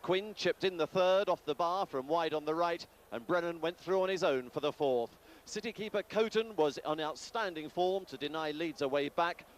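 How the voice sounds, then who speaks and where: A man's voice: fairly high pitch, normal speed, hardly any pauses. A man narrating a scripted football match report. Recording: clear outdoor background noise.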